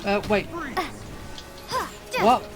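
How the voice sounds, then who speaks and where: Lively, slow-paced woman gamer. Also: sits high, music in the background. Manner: self-deprecating, reactive mutterings. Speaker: game streamer. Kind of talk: casual live gameplay commentary.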